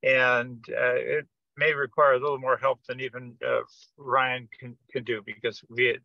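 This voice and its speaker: A man giving a technical explanation on a group teleconference, speaking haltingly and often repeating words as he goes. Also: mid-range voice, little pitch movement, no echo. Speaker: project participant giving an update. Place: remote teleconference. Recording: dull, dry lo-fi conference-call audio.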